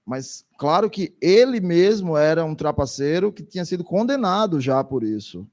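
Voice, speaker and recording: Hesitant male interpreter, slightly high voice. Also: big pitch swings, moderate pace, darkish tone. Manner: hesitant, with many restarts. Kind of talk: consecutive interpretation.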